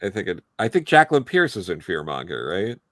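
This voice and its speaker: A man's voice: low voice, big pitch swings, fairly quick, no echo, clean studio sound. A man speaking as a guest panelist in a casual review, tentative and understated.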